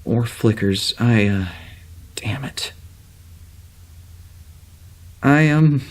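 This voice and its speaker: An actor playing a troubled starship officer with amnesia, halting and rambling as he records a log in a naturalistic audio drama. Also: medium pitch, darkish tone. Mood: worried, reluctant. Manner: halting, rambling, self-interrupting.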